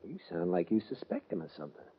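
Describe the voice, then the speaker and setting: A man's voice: sits low, wildly swooping pitch, quick pace, slightly rough, heavily acted. A man playing a jumpy, earnest rancher sidekick in an old radio western. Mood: edgy, puzzled. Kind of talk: 1940s-50s radio western acting. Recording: muffled, noisy old-time radio recording.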